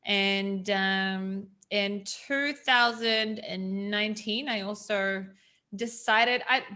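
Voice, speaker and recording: A woman's voice: medium pitch, slow, pushed and bright. A woman, chatting casually as an interview guest with frequent ums. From New Zealand.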